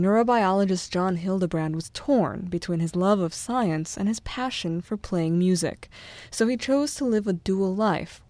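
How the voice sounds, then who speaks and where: A woman host giving a measured, scripted introduction to a guest scientist. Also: medium pitch, dark tone, dry recording. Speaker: podcast interviewer.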